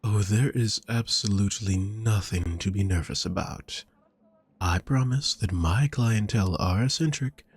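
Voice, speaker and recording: Man playing a slow, husky, courtly vampire. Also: lowish voice, no echo, exaggerated voice. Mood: soothing, coaxing. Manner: gentle, formal, flowery, teasing endearments. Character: courtly, doting, possessive male vampire shopkeeper. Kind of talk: intimate roleplay audio drama, addressing the listener.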